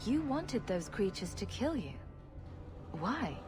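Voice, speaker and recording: A woman's voice: mid-range voice, big pitch swings, slow, in a large hall, music in the background. A woman playing a sarcastic, wisecracking rogue adventurer in a video game, with a dry, unimpressed manner. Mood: blunt. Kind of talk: video game dramatic acting.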